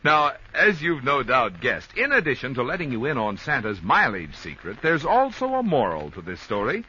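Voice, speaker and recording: An American man reading a playful sponsor pitch as a 1950s radio announcer. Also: huge pitch swings, moderate pace, bright, forceful tone. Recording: muffled, faintly noisy 1950s radio recording.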